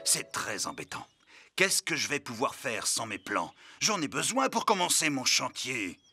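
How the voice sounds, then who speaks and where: Gravelly, fretful cartoon builder. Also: male voice, medium pitch, sing-song, moderate pace, bright, forceful tone, faint music behind, full character voice. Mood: worried. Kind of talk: children's cartoon dubbing.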